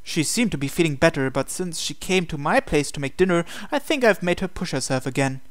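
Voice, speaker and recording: A man's voice: fairly high pitch, expressive, fairly quick. A man hosting a game playthrough, reading visual novel narration aloud expressively with casual asides.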